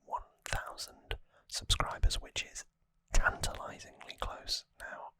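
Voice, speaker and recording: An ASMR host speaking in a breathy, unvoiced whisper, gentle and earnest, slightly rambling to viewers, close on the microphone. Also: takes it slowly. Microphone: close microphone in a dry space.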